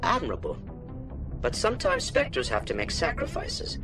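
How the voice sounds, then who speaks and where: An actor playing a critical, stately alien councilor in a video game, formal and admonishing. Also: male voice, mid-range voice, wildly swooping pitch, normal speed. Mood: stern. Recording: music behind the voice.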